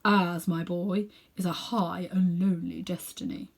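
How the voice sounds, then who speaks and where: Woman acting middle-aged gentleman magician, pompous, condescending, sinister, self-important, drawn-out phrasing, storybook villain. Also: on the low side, fairly even intonation, creaky. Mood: pompous, lofty. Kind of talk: children's storybook character reading.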